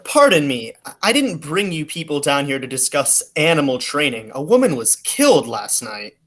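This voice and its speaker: A woman's voice: deep voice, very animated, normal speed. A woman playing a firm, businesslike male detective in a 1940s-style radio drama. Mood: stern, controlled.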